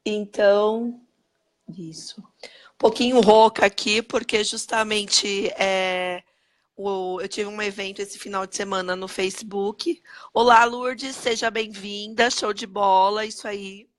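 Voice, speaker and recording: Hoarse Brazilian woman, upbeat live-stream host, greets viewers by name, mid-pitched, webcam audio. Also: level tone, slow. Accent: Brazilian Portuguese.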